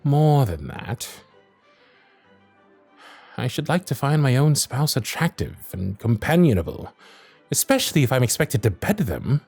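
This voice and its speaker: A proud young Victorian lord in an ASMR audio drama, speaking in formal, sardonic period phrases, as a man acts him out. His voice is husky and lively in pitch. Faint music plays behind him. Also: measured pace, pauses often, heavily acted. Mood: exasperated.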